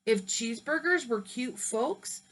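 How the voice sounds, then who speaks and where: A woman reading as a smooth-talking storybook wolf. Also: a little high, lively intonation, a little slow, fairly bright tone, poor audio. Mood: persuasive. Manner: chatty, persuasive storyteller. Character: smooth-talking male wolf telling his side.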